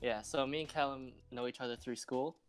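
A man's voice: mid-range voice, fairly even intonation, a little fast, music in the background. A man in his twenties co-hosting a casual podcast chat, talking in laid-back slang. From Philippines. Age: 20s. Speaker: podcast co-host.

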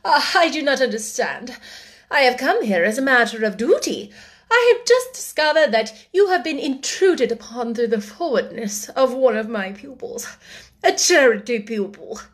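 A haughty schoolmistress speaks with clipped, rigid politeness that turns to cold command, as a woman narrator puts her on. Her voice sits a little high and carries loudly. This is a storybook reading. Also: big pitch swings, moderate pace. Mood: stern, indignant. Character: haughty stern middle-aged woman schoolmistress.

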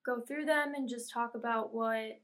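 A young woman co-hosting a podcast in casual chat, with a soft, quiet voice and lots of "like" fillers, while she is losing her voice. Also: a little high, fairly even intonation, moderate pace. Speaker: podcast co-host.

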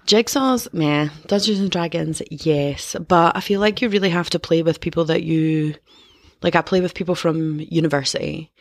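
A wry woman co-host with a lowish voice, chatting in a self-deprecating way and laughing often. The recording is dry and close, with no room sound. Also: normal speed, no echo. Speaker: podcast co-host. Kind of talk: casual podcast chat.

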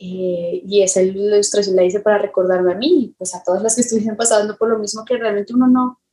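A woman with a soft-edged, mellow voice at a medium pitch. She talks as a guest in a reflective interview, pausing often to find the right word. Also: little pitch movement. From Colombia. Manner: thoughtful, halting, searching for words. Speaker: illustrator, podcast guest.

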